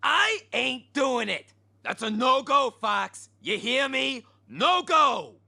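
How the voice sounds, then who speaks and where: A grumpy monster sidekick from a cartoon audio drama, proud and easily offended. The voice is pitched high with a gravelly creak, and it swings wildly as he grumbles in slang. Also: very animated, slow pace, sharp tone, heavily acted. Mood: stubborn refusal. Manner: indignant, slangy. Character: grumpy, stubborn, proud male monster sidekick who cooks. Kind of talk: animated audio drama acting.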